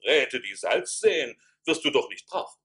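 An actor playing a pompous imperial envoy with a declamatory, astonished manner in a radio play. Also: male voice, medium pitch, expressive, moderate pace, pushed and bright, slightly rough. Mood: dismissive. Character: pompous mustachioed male imperial envoy on horseback.

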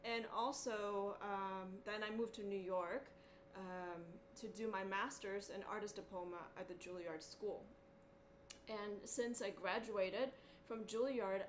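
A middle-aged woman hosts an informal livestream as a music teacher. Her voice sits at an average pitch, and she talks in an unscripted, halting, enthusiastic way. She is recorded a few feet from a camera mic in a living room, with noticeable background noise. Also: fairly even intonation. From born in Hong Kong, raised in Boston, USA. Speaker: cellist and teacher hosting a livestream. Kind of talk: casual livestream chat. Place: small living room at home, indoors. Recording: clearly noisy home webcam audio.

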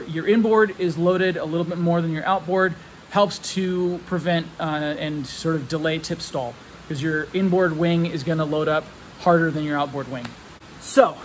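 A man in his thirties with a slightly high voice talks into a phone camera held close. He rambles easily with fillers and restarts while explaining technical details, and a faint hum of room ambience sits in a big metal hangar behind him. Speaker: RC plane hobbyist vlogger. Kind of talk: casual walkthrough vlog. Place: large metal hangar, indoors. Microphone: handheld phone camera held close.

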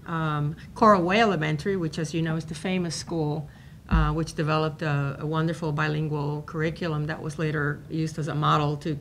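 A woman's voice: low-pitched, a bit bright, slight background noise. Cuban-born woman lecturing at a panel. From Cuba. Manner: conversational, many fillers and restarts. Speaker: professor giving a talk. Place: university seminar room. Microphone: table microphone.

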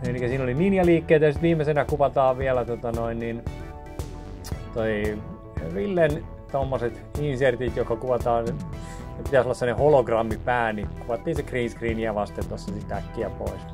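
A man in his thirties talking casually in a rambling, chatty way while he walks through building a film set in a small office studio. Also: mid-range voice. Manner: chatty, rambling, with many restarts. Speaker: video maker showing a set build. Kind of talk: casual DIY vlog talk. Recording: background music under the voice. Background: music playing under the talk.

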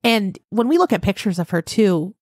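A woman in her thirties co-hosts a true crime podcast in a casual, conversational way, working through her researched notes. Her voice sits at a middle pitch and sounds close and dry, with no room around it. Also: sing-song, moderate pace, dry recording. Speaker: podcast co-host.

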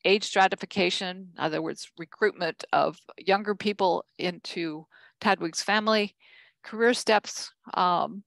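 An older woman giving a conference keynote from slides, measured, with frequent ums and self-corrections. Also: mid-range voice, vocal fry, fairly bright tone, dry recording. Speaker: keynote speaker.

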